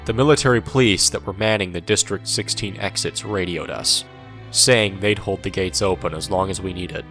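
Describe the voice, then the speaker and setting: A man's voice: sits low, moderate pace, fairly bright tone. An actor playing a wry, world-weary soldier who narrates his war in the first person, sardonic and irreverent, in an audio drama. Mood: grim. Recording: music under the voice.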